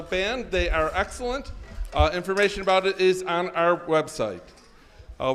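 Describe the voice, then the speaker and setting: Male congregational announcer, high voice, informal, halting live delivery. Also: a bit bright. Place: social hall, live.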